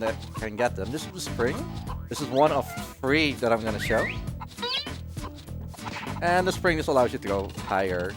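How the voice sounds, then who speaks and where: A man who is not a native English speaker giving casual, rambling live commentary on his own speedrun, with wry asides. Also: mid-range voice. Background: video game music.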